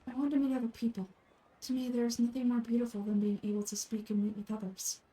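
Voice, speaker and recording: A woman plays a frail young woman from a fantasy game. The voice is mellow and fairly high, with soft, measured delivery. The temper is gentle, wistful and accepting, over background music. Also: flat delivery, close to an everyday voice, poor audio.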